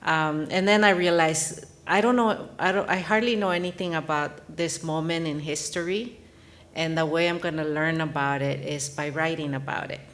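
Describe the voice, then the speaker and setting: A woman's voice: sits low, steady tone, normal speed, fairly bright tone. A woman from Mexico, a native Spanish speaker, speaking as an author guest at a live panel in an earnest, reflective way with frequent restarts. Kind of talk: panel discussion.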